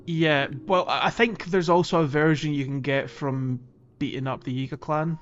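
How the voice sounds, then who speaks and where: A man's voice: a little high, fairly even intonation, moderate pace, highs cut off. A man co-hosting a podcast, casually discussing a video game and often thinking aloud with some hesitation. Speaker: podcast co-host.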